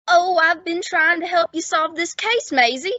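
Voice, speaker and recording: Eager naive bellboy, high, bright and swooping, gee-whiz vintage radio comedy. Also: female voice, moderate pace, dry recording. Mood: eager, smitten. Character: naive, girl-struck, squeaky young bellboy. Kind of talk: 1950s radio comedy acting. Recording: dull old-time radio recording.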